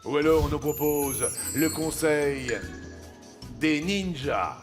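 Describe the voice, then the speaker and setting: A man's voice: on the high side, slow pace. A man hosting a quiz show in a playful, teasing and theatrical manner, sometimes slipping into song. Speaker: game show host. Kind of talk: lively quiz show presenting with playful banter. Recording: TV studio with music behind. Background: show music.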